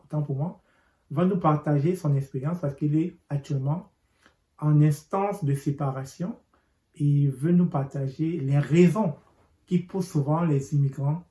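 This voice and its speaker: Mellow-voiced male interviewer, roomy sound. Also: fairly high pitch. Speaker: interviewer host. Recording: roomy echo.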